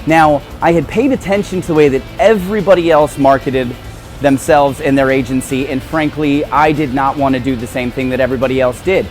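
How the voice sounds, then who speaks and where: A man's voice: mid-range voice, fairly even intonation, brisk, faint music behind. An American man speaking conversationally as a radio host and promoter. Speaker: radio show host and business owner. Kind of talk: conversational hosting and promotional talk.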